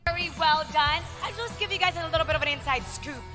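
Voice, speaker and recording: A woman's voice: sits high, fast talker, music in the background. A woman interviewing esports players after a match, bubbly and playful.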